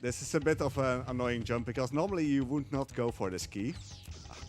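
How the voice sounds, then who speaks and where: A man's voice: medium pitch, a little fast, a little mellow, slight background noise. A young man giving live commentary while he speedruns a game at a marathon event, talking hesitantly with many fillers.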